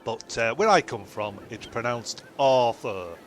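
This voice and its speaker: A man's voice: mid-range voice, lively intonation, unhurried, slight background noise, close to an everyday voice. A man playing a cheeky, dim castaway who boasts and rambles in an ad-libbed comedy audio sketch. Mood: indignant. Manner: rambling, ad-libbing. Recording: music behind, blown-out homemade audio.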